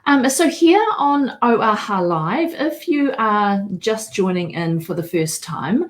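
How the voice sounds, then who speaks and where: New Zealand woman host, higher voice, unhurried and welcoming. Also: darkish tone. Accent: New Zealand English. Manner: welcoming, opens in te reo Māori. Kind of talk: live show hosting.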